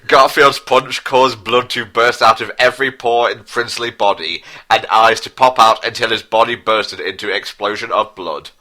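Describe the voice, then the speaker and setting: A man's voice: mid-range voice, steady tone, moderate pace, few pauses, pushed and bright. A man narrating as co-host in a pompous, overblown mock-dramatic style, reading from a script.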